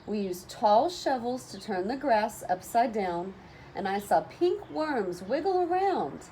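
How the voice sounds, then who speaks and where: A woman teacher reading a children's story aloud in an expressive, deliberate manner, with animated phrasing. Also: a little high, low-quality recording. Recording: noticeable background noise.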